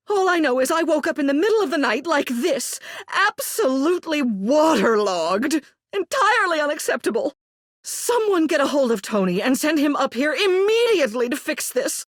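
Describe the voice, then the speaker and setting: A woman's voice: sits high, no room sound, clean studio sound. A woman playing a haughty, self-important, demanding character in a video game, imperious, formal and sarcastic.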